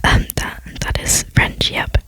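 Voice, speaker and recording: A young adult chatting casually in a whisper, ASMR-style, in a rambling, self-deprecating way as a video creator. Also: slow pace.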